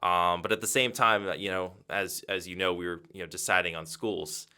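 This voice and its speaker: Young male sports broadcaster from Massachusetts, very low, bright and crisp voice, measured answers. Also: steady tone. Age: 20s. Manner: measured and thoughtful, frequent "um" and "you know". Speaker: radio play-by-play broadcaster. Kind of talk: interview answers.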